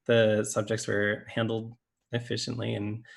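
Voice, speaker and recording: A man with a fairly low voice and very little melodic movement shares his thoughts on a panel. He is earnest and measured, picking his way haltingly through casual remarks. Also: monotone, gravelly. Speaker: panel participant. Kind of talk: casual reflective discussion.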